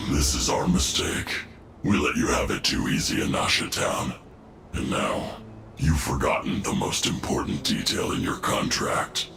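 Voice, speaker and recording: An actor playing a menacing, sly male villain in a video game, with a very deep gravelly voice and a deliberate, sardonic way of talking. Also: expressive, bright, forceful tone, slight background noise, heavily acted. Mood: cold menace. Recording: roomy echo, background music.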